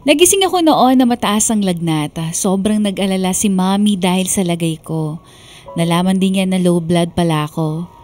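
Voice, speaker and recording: A woman's voice: on the low side, moderate pace, darkish tone, faint music behind, lightly acted. A young Filipino woman playing a letter writer who confides her hardship in first-person radio drama narration. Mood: confiding. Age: young adult. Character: 28-year-old woman recounting her hardship.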